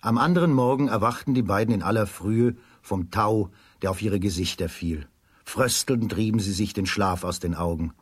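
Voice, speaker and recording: Male narrator, lowish breathy voice, dry close sound, scripted children's storytelling. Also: normal speed, no echo.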